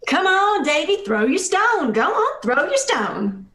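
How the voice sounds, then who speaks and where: Woman acting folksy radio-play village woman, cheerful, coaxing, sweet, slow, bright. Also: very high voice, sing-song, echoey room, voice pushed down, heavily acted.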